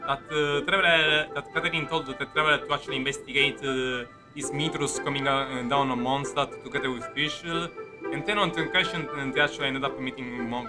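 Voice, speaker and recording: Man, game streamer, halting and repetitive, casual commentary, music behind. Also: mid-range voice. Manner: halting, rambling, repeats himself. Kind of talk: casual gaming commentary, reacting to story.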